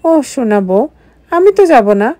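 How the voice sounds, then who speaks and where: A woman's voice: a little high, big pitch swings, a little slow, a little mellow, no echo. A woman doing a teasing young husband. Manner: playful, coaxing.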